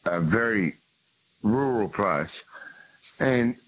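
A man with a broad Tasmanian Australian accent, calling in to a radio show, speaking haltingly with many ums and restarts. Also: fairly low pitch, animated, fairly bright tone, husky, muffled recording. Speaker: caller. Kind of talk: phone-in chat.

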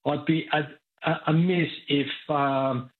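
A man's voice: fairly high pitch, steady tone, takes it slowly. Cheerful man over a tinny phone. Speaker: caller. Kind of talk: casual phone-in chat.

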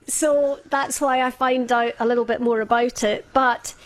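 A woman's voice: fairly high pitch, steady tone, normal speed. A Scottish woman in her fifties, a radio guest telling a personal story in casual chat, hesitant, with frequent small laughs. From Scotland.

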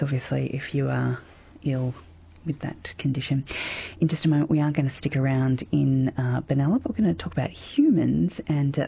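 An Australian man hosts a casual radio programme. His voice is dark and gravelly, with plenty of fry. He phrases questions and links loosely, with some hesitation. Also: mid-range voice. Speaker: radio host.

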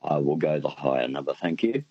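Australian man, radio caller, laconic, lively pitch, dull lo-fi phone sound. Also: a little fast, heavy background noise. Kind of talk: phone-in chat.

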